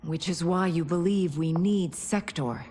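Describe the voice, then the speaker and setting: A woman's voice: on the low side, a little slow, warm tone, a little hiss or hum, close to an everyday voice. An actress as an earnest, serious warrior woman. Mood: grave, concerned. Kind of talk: video game cutscene acting.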